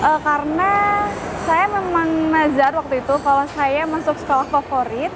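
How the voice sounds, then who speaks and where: A woman's voice: high-pitched, steady tone, normal speed, background noise. A woman of twenty-four, speaking in a composed, formal way in an interview. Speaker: interviewee, model and architect. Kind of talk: personal testimony interview.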